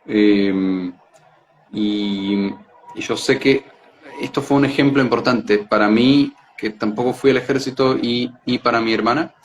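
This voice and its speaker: A man's voice: medium pitch, unhurried, slight background noise. A man from Israel speaking as an interview guest, explaining things reflectively and hesitantly, searching for words and repeating them.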